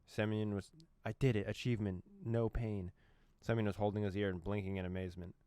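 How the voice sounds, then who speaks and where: A man's voice: fairly low pitch, little pitch movement, brisk, darkish tone, smooth, dry recording. A man hosting a let's play, reading a visual novel aloud with playful asides and dramatic reading.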